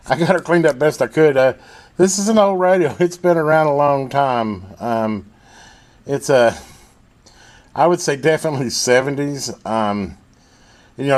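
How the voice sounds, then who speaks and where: A man talking casually through a hands-on repair as a technician, folksy and thinking aloud at his own unhurried rate. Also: medium pitch. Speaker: radio repair technician and video host. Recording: loud electrical hum and background noise.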